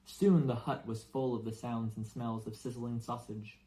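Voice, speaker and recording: A man's voice: medium pitch, fairly even intonation, normal speed, dark tone, rough recording. Male narrator reading a storybook. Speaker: audiobook narrator.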